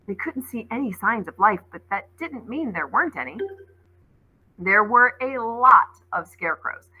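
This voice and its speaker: A woman reading a children's novel aloud as an expressive storyteller, like a teacher reading to a class. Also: a little high, a little slow, husky, phone-quality sound.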